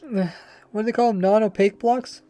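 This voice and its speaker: A man's voice: high voice, fairly even intonation, normal speed, dark tone, faint music behind. A man streaming a Minecraft session, chatting casually and rambling as he plays, with slightly mumbled, lazy pronunciation and a faint accent. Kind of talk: casual livestream chat while playing.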